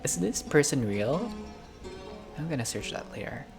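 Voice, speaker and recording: A game streamer with a mid-pitched voice reads visual novel narration aloud over clearly audible music. The delivery is expressive, slipping between storytelling and casual, chatty commentary. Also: moderate pace. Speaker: gaming content creator reading a visual novel aloud. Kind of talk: playthrough narration with casual reactions. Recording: music behind the voice.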